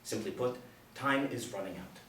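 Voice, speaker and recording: A man's voice: on the low side, animated, normal speed, a bit bright. A man reading the part of a resolute, matter-of-fact military lieutenant at a script table reading. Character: resolute male military lieutenant hunting old Nazis. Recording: roomy, distant mic, light room noise.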